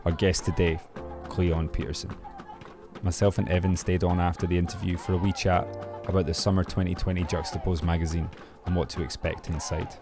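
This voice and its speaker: A man with a fairly low voice hosting a podcast. He presents and chats in an easy spoken style, with faint music around the recording. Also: level tone, brisk. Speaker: podcast host. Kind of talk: scripted intro and casual interview chat.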